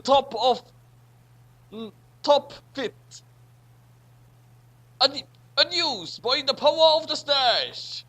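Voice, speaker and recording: A man giving a halting, theatrical comedic reading of a story as co-reader. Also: very high-pitched, pushed and bright. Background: music.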